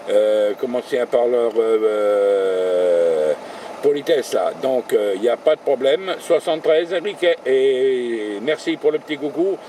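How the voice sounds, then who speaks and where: A man's voice: medium pitch, lively intonation, unhurried, warm tone, slight background noise. A man working as a radio operator from a vehicle, calling and chatting in radio jargon with spelled-out call signs. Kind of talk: radio contact calls and chat. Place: in a vehicle, mobile station.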